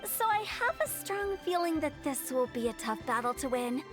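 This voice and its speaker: A playful, cocky young woman from a video game, talks in a very high voice. She is theatrical and teasing, showy with every line, over music. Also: big pitch swings, moderate pace. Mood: confident, explaining. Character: playful, theatrical, cocky young female funeral director and poet.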